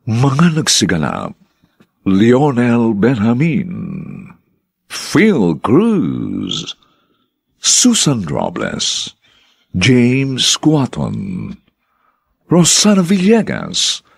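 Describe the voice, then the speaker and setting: A man hosting a radio drama, reading scripted narration in a formal, dramatic and deliberate way. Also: mid-range voice, wildly swooping pitch, creaky, husky, no room sound.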